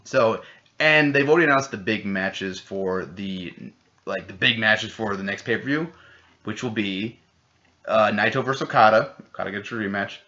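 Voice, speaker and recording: A man co-hosting a casual podcast chat, rambling and excitable, swearing freely. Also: lowish voice. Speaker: podcast co-host. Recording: dull lo-fi podcast audio.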